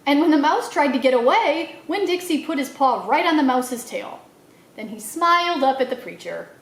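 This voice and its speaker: A woman reading a children's novel aloud in an expressive storytelling style, acting out the dialogue as she goes. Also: sits high, normal speed, roomy sound, low-quality recording. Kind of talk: animated read-aloud narration for children.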